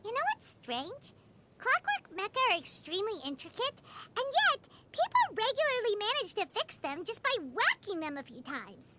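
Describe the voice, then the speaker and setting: A woman playing a tiny, excitable, childlike floating companion in animated video game dialogue, squeaky and easily indignant. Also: normal speed, heavy background noise, exaggerated voice. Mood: chatty, curious. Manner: speaks of herself in third person, excitable.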